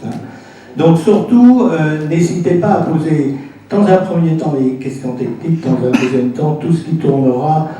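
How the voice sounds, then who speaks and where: A man presents informally to a local council as its president, explaining things with frequent pauses and tag words. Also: a little high, dark tone. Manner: explanatory, often pausing and adding "hein" as a tag. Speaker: intercommunal council president addressing a meeting. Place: indoor council meeting room. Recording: echoey room, distant mic, faint noise.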